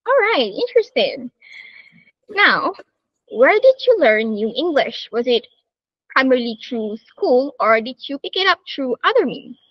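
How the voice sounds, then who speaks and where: A woman's voice: high-pitched, sing-song, a little slow, no room sound, highs cut off. A woman interviewing a student, reading prepared questions with many "all right" and "okay" transitions.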